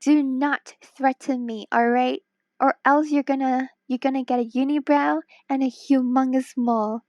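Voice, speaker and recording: A woman's voice: high voice, fairly even intonation, normal speed, a little mellow, dry recording, muffled recording. A woman from Hong Kong chatting casually on a late-night stream, tired and worn-voiced, playful and teasing, sometimes dropping to a whisper. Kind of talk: casual live-stream chat while drawing.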